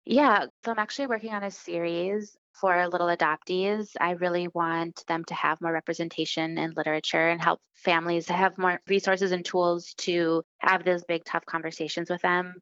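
A woman from Chile with a Midwestern American accent, an author answering interview questions in an earnest, warm storytelling way. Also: fairly low pitch, little pitch movement, moderate pace, hardly any pauses, no echo, lo-fi sound. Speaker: author guest.

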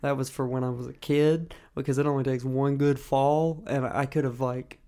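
A man's voice: medium pitch, flat delivery, moderate pace, warm tone. Crude, self-mocking podcast co-host man. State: sore and recovering from illness. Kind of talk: casual chat.

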